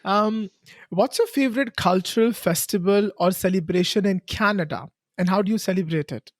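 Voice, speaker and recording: Calm podcast host asking questions. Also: mid-range voice, moderate pace, no room sound, clean studio sound. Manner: calm, brief prompts and soft backchannels. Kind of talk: interview questions.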